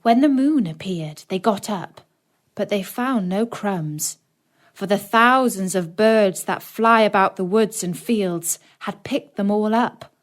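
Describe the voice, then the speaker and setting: A woman's voice: mid-range voice, takes it slowly. Woman narrating a children's storybook. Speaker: audiobook narrator.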